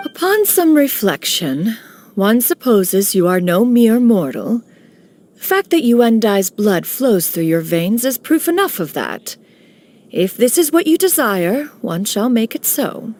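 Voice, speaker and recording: A woman's voice: on the high side, very animated, a little slow, darkish tone. A woman voicing an aloof ancient female immortal master who speaks in a stately, archaic way, referring to herself as "one", in a game cutscene. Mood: measured. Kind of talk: video game cutscene dialogue. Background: faint game music.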